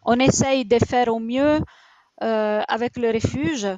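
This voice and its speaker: A woman's voice: on the high side, measured pace, a little mellow, no echo, muffled recording. A woman in her forties from Italy, speaking French with an Italian accent as a shelter founder in an interview, hesitant and full of fillers. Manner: hesitant, many fillers and restarts. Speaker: rabbit shelter founder, guest. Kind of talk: interview answers.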